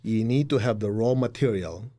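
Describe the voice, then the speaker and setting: A man's voice: fairly low pitch, moderate pace, dark tone. Blunt middle-aged man, Chinese accent. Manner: blunt and direct, acts out quoted voices, laughs at own jokes. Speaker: spiritual teacher. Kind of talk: motivational spiritual talk.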